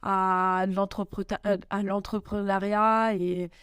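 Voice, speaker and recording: Young French woman, smooth and hesitant. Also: mid-range voice, little pitch movement, slow pace, a bit bright, no echo, studio quality. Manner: hesitant, many fillers and restarts. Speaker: guest. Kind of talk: casual interview answers. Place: home in Italy.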